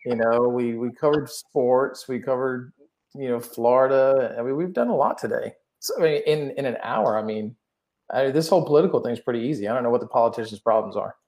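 Man, raised in England, podcast guest, rambling, repeats words, mid pitch. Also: fairly quick, a little mellow. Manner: rambling, repeats words when starting sentences. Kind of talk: casual chat.

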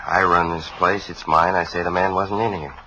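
A man's voice: deep voice, fairly even intonation, moderate pace, bright, forceful tone, low-quality recording. A man with an American accent playing an irritable, defensive bar owner in an old radio drama, curt and evasive. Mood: irritated. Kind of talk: 1950s radio drama acting. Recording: old radio recording, faint noise.

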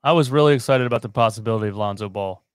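A man's voice: on the low side, very fast, clean tone, no echo, studio quality. A man co-hosting a sports livestream, chatting casually with laid-back, slangy reactions. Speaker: livestream co-host.

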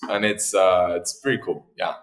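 A man's voice: sits low, steady tone, normal speed. This is a man, a Spanish speaker. He talks casually, like a guest telling a story in an interview. The sound is noticeably echoey, as if recorded in a bare room. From Argentina. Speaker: podcast guest, tech entrepreneur.

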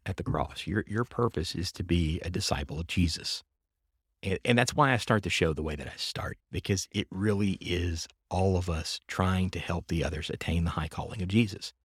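Deep husky man hosting a faith podcast. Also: no echo, studio quality. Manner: earnest, reflective, talks in long linked thoughts. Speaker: podcast host. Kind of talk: casual faith-based podcast conversation.

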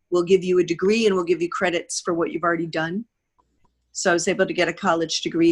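Female, 60s, American, slightly low voice. Interview guest sharing anecdotes, quoting people as she goes. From United States.

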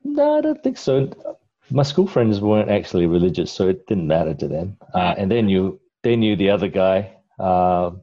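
A man's voice: low voice, very animated, normal speed, warm tone, lo-fi sound. A middle-aged man from Malaysia, a guest in a casual interview, telling his story in a rambling way with lots of fillers and restarts.

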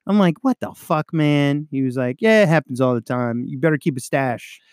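A man's voice: on the high side, normal speed, no echo, studio quality. A young adult man, a police officer co-hosting a podcast, chatting casually, swearing often and laughing through stories. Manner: swears a lot, laughs, tells war stories. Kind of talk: casual profane chat.

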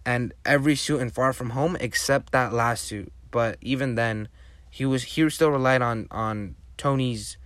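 Man, native spanish speaker, podcast host, casual monologue, enthusiastic, rambling, medium pitch, dry close sound. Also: a bit bright, smooth, no room sound. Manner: rambling, enthusiastic fan talk with many restarts.

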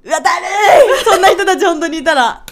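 A woman co-hosting a podcast in casual chat, excitable, giggly and effusive. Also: very high-pitched, quick pace, heavy background noise. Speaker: podcast co-host.

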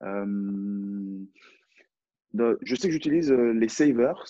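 A man's voice: fairly low pitch, animated, takes it slowly, warm tone, no echo, muffled recording. A man from France with a French accent, a personal development coach answering viewer questions in a live online talk, often restarting his sentences. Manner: thoughtful, many restarts and "donc" fillers.

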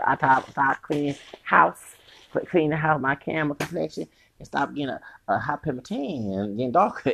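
A man with a feminine-sounding voice rants alone on his podcast, rambling and profane. His tone is husky and gravelly, and his pitch leaps all over the place, while laughing, sing-song asides cut in. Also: sharp tone. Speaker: podcast host. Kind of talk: rambling solo rant.

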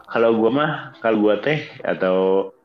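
A young man with a fairly low voice talks in a laid-back group chat. He pauses often, stalls on fillers, and gropes for the right words. The audio is muffled and lo-fi. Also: animated, a little hiss or hum. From Bogor, Indonesia. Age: young adult. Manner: halting, searching for words. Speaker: student. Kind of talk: casual chat. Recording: dull lo-fi sound.

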